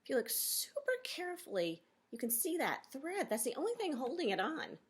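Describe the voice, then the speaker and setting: A woman reading a children's nonfiction book aloud as a teacher, pausing to explain and question her young listeners directly. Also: sits high, big pitch swings, very noisy.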